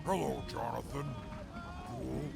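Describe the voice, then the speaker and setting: Man as a gravelly, slow, pompous snob. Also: medium pitch, over music. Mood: disdainful. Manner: pompous, deadpan, formal. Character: haughty, stern, scheming male companion with formal speech. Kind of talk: post-apocalyptic audio drama acting.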